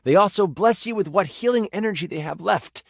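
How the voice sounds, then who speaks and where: A man's voice: sits high, a little fast, gravelly, no room sound, clean studio sound, exaggerated voice. A man playing an eager game master who narrates a fantasy tabletop adventure with dramatic expression in a video game. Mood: dramatic storytelling. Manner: dramatic, expressive, with aside remarks. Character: male tabletop game master, personified D20, eager theatrical storyteller.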